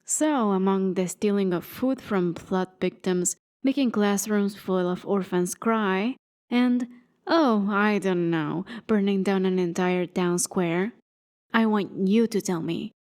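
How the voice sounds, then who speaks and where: A woman's voice: medium pitch, slow pace, darkish tone, natural voice. Woman playing a fed up goddess, sarcastic and scolding, theatrical fantasy asmr roleplay. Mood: exasperated, sarcastic. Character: exasperated, sarcastic female deity of gambling scolding champion.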